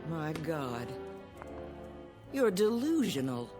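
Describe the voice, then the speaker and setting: An actress playing a scornful, sceptical wife in a radio drama, sharp-tongued, curt and sarcastic. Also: female voice, low-pitched, very animated, takes it slowly, music in the background, heavily acted.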